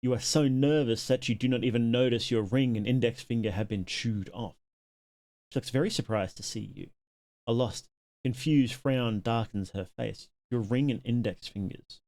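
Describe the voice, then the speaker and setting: A man's voice: mid-range voice, moderate pace, mellow. A man live-streaming, reading game text aloud and making casual asides to his viewers.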